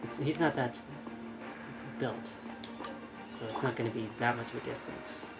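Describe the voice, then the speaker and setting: A man with a middle-pitched voice commentates casually on his live game stream. He half talks to himself, dropping mild exclamations as he plays. Loud game music runs behind his voice. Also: fairly even intonation, normal speed, gravelly, rough recording. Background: loud video game music.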